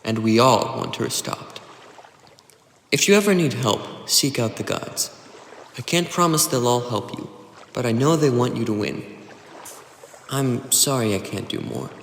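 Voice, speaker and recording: A man's voice: mid-range voice, measured pace, long pauses, a bit bright. A man voicing an earnest, regretful god of a valley in a dramatic webcomic dub. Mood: grave, earnest. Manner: earnest, explanatory. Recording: roomy echo, faint noise.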